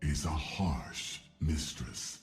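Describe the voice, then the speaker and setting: A man voicing an ancient, stoic jackal-headed god-warrior in a video game, solemn and measured, with a processed, otherworldly voice. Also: faint music behind, exaggerated voice. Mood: grave, ominous. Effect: deepened, processed monster-like voice. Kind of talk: scripted video game champion lines.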